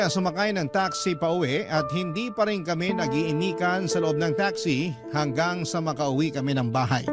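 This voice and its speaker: A man with a Filipino accent narrating a scripted first-person story for radio drama. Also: fairly high pitch. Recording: prominent music bed under narration.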